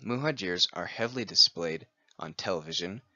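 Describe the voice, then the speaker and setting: A man's voice: deep voice, expressive, moderate pace, pushed and bright, dry recording, lo-fi sound. A man giving a scripted presentation, reading steadily from a prepared text. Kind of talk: scripted read-aloud presentation.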